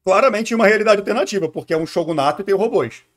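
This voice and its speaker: A man's voice: sits high, rapid-fire. A man with a Brazilian accent giving casual, rambling reaction commentary as a streamer. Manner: rambling, musing.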